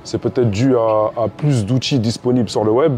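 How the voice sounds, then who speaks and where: A man interviewing someone in casual chat, with informal, slangy and halting phrasing. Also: mid-range voice, mellow. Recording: ambient background noise.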